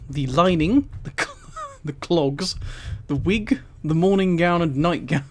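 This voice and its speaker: Man, slightly high voice, casual livestream reader, amused, rambling asides, headset mic. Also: wildly swooping pitch, a little slow, slight background noise. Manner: amused, giggly, rambling commentary. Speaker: streamer reading a book aloud to live chat.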